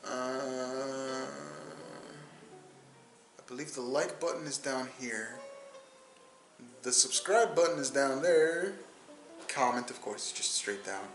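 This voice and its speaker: A man's voice: medium pitch, slow pace, pauses often, fairly bright tone, roomy sound, faint music behind, poor audio. A man in his twenties hosting a solo podcast in a casual, rambling way, repeating words and restarting his sentences. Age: 20s. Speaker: podcast host.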